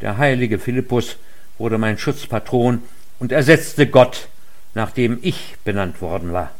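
A man delivering a formal lecture in a measured, earnest way. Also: mid-range voice. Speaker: lecture speaker. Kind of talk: formal read speech.

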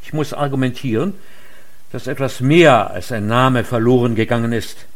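A man delivering a formal lecture in a measured, earnest way. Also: medium pitch. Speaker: lecture speaker. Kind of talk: formal read speech.

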